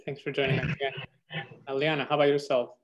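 A man's voice: medium pitch, little pitch movement, fast talker, highs cut off. A man hosting an online meetup, briefly introducing each member over a video call. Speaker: meetup host.

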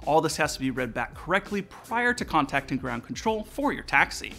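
Bright, animated American man presenting. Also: a little high, fairly quick, creaky. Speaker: aviation channel host. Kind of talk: scripted explainer narration to viewers. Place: indoor studio room with aviation decor. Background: music.

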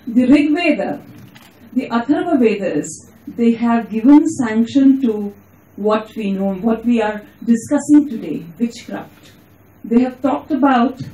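A woman with an Indian accent comments from the audience, speaking deliberately and with emphasis. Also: on the high side, warm tone. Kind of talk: comment from the floor. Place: auditorium, standing among seats. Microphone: handheld microphone. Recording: echoey auditorium sound.

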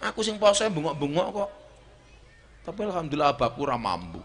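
A middle-aged man with a Javanese accent preaching a humorous sermon on an outdoor stage into a handheld mic, joking and swinging between shouts and low asides. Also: very animated, fast. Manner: walks the stage with a cane. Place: outdoor stage before a large crowd.

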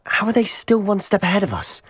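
An actor playing a blunt young wizard student who pushes a friend for answers. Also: male voice, high-pitched, very animated, fairly quick, breathy edge, no echo, clean studio sound, full character voice. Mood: impatient.

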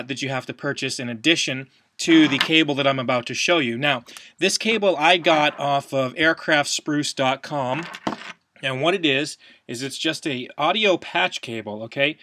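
A man's voice: on the high side, bright, forceful tone. A man in his thirties giving a casual, plain-spoken, step-by-step demonstration as a host, recorded indoors at a desk. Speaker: podcast host, product demonstrator. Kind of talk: casual instructional video demonstration. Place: small wood-panelled room at home, indoors.

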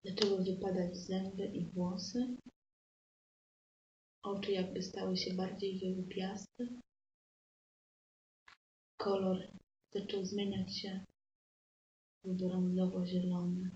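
A woman narrating improvised comic stories as a stage performer, measured and deadpan. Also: medium pitch, distant mic. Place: indoor live performance space. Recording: echoey live room, muffled, noisy.

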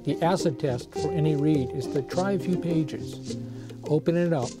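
A man in his sixties who owns a bookshop, speaking in a documentary interview on a lapel mic and pausing often with fillers. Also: on the high side, over loud music. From United States. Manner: hesitant, many uh fillers. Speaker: bookshop owner. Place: wooden barn bookshop, indoors.

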